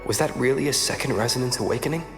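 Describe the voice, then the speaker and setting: An actor playing a questioning young game hero thinking to himself, with an echoing effect on the voice. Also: male voice, mid-range voice, racing, gravelly. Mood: doubtful, pondering. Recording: long echoing reverb, music behind.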